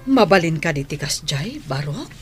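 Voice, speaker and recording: An actress as a concerned household nanny in a radio drama, gently questioning. Her voice is slightly low, with music underneath. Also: very animated, moderate pace.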